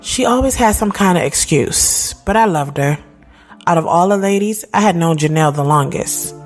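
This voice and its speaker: A woman's voice: fairly low pitch, lively intonation, normal speed. A woman acting as a reflective thirty-year-old entrepreneur who tells her own story in a confiding, conversational, slangy way. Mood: fond, reflective. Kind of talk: first-person scripted audio drama narration. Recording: loud music bed behind the voice.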